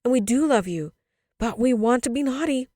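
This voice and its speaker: A woman's voice: medium pitch, animated, moderate pace, mellow, no room sound, clean studio sound, lightly acted. A woman voicing a tearful little girl in a storybook, pleading in an insistent, emotional, childlike way. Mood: insistent, distressed.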